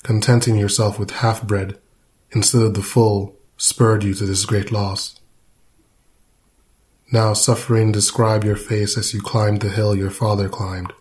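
Even-toned, lowish male poetry reader. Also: unhurried. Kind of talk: poetry reading.

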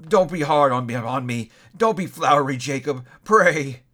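A man's voice: lively intonation, moderate pace, a bit bright. A man voicing a frightened old male miser who stammers and pleads in a raised voice in a storybook reading. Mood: pleading. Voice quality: stammering, higher than the narration.

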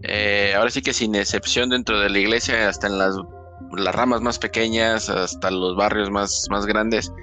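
A man hosts a relaxed podcast chat. His voice sits a little low but sounds bright and forward. He keeps stopping and restarting his sentences, and faint music plays under him. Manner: halting, many restarts and fillers. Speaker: podcast host. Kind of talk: casual podcast conversation.

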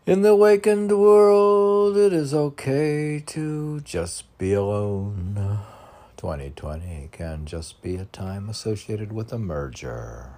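Slow-paced, low-voiced man reciting poetry. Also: lively intonation, dark tone. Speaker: poet reading own work. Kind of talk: recited spiritual poetry reading.

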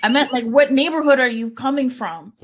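A woman's voice: high-pitched, a little fast. A woman, chatting casually and telling stories with quoted dialogue and occasional Spanish. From Canada, born in El Salvador. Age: 40s. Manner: animated retelling with quoted dialogue. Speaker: podcast co-host. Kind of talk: casual conversational storytelling with some Spanish mixed in.

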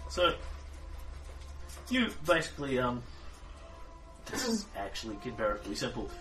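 A man's voice: medium pitch, very animated, moderate pace. A man acting as game master, explaining things loosely with many hesitations. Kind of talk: running a tabletop game. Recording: somewhat distant mic, faint music.

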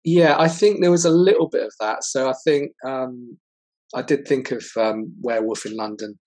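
Husky-voiced man, relaxed and rambling. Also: mid-range voice, moderate pace. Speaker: screenwriter co-host. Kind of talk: casual podcast interview.

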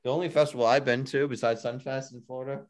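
A man's voice: mid-range voice, fairly even intonation, fast. A man co-hosting a casual podcast chat, rambling with lots of fillers and restarts. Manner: rambling, filler-heavy, repeats himself. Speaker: podcast co-host.

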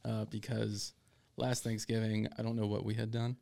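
Pria bersuara rendah dan sangat serak. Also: male voice, lowish voice, normal speed, gravelly. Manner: relaxed, easygoing storytelling. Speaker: podcast co-host. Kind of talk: casual barbecue podcast chat.

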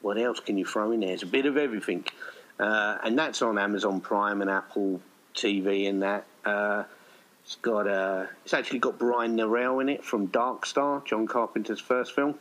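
A man with a fairly low voice talks as a guest in a casual interview. He rambles a little and hesitates, with a modest, self-deprecating way of explaining himself. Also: steady tone, normal speed, fairly bright tone, poor audio. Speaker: writer and director, podcast guest.

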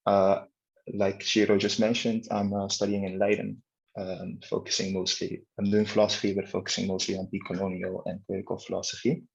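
A man's voice: deep voice, level tone, brisk, gravelly, breathy edge. A young man from Bonaire, a student, giving an earnest talk as guest speaker over a video call. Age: young adult. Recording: dull lo-fi call audio.

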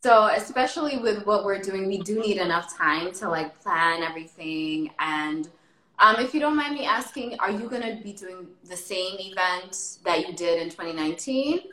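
Woman, medium pitch, bright forceful tone, expressive casual interviewer, echoey room. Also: normal speed, a little hiss or hum. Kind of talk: casual chat. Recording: roomy, echoey sound.

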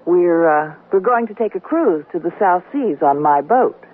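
A woman's voice: lowish voice, lively intonation, normal speed, husky. A woman playing a frank, self-assured woman in love in a 1970s radio comedy drama. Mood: confiding, pleased. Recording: tinny narrow-band radio recording.

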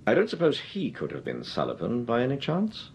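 A man's voice: mid-range voice, wildly swooping pitch, fairly quick, gravelly, a little hiss or hum. An actor playing a cool-headed, ironic male amateur detective in a radio drama. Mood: dry. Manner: dry, ironic. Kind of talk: 1940s radio acting.

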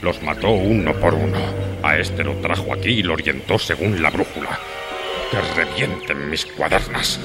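A man's voice: very deep voice, normal speed. A man playing a menacing, shrewd pirate leader in a radio drama, commanding and sarcastic in Spain Spanish. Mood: sardonic. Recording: loud music behind the voice.

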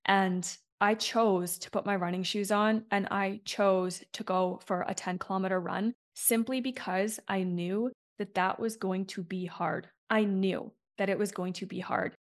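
A woman coach talks through an unscripted solo podcast episode. Her voice sits at an ordinary middle pitch with a slight rasp left over from a chest infection. She speaks candidly and reflectively and keeps checking in with her listeners. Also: fairly even intonation, measured pace, hardly any pauses. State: slightly raspy from a chest infection and head cold.

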